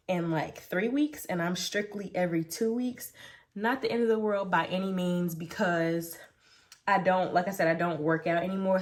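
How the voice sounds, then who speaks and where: A young pregnant woman with a nasal, congested voice, casually chatting on her vlog while she oils her hair at her home vanity, rambling with lots of fillers and restarts. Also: on the low side. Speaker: beauty vlogger. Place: home vanity room, indoors.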